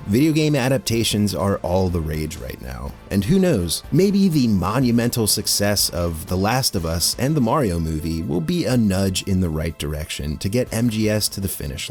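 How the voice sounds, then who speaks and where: A man's voice: lowish voice, a little mellow. A man narrating a scripted video essay as its host, in a conversational way with wry asides.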